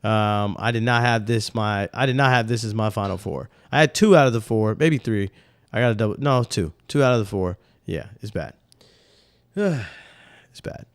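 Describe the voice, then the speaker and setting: A man's voice: lowish voice, moderate pace, dry recording, studio quality. A young man with a slightly nasal voice, hosting a sports podcast in loose, rambling casual talk. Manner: loose, animated, rambling with slang.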